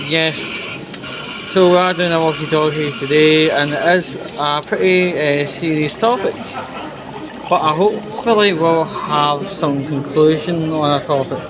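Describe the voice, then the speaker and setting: A Scottish man talks informally to his vlog audience while out on a walk. His voice sits a little high for a man and moves at a very slow, hesitant pace. Chatter and bustle can be clearly heard behind him. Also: fairly even intonation, rough recording. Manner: hesitant, halting, conversational. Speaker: vlogger. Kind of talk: informal walking vlog monologue. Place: out and about on a walk.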